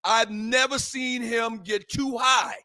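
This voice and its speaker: A man hosting a sports talk show, fervent and emphatic, repeating phrases and rising to shouts, his voice a little hoarse. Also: extremely high pitch, fairly even intonation, takes it slowly, no room sound. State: slightly hoarse, voice worn from shouting. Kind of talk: impassioned sports talk monologue.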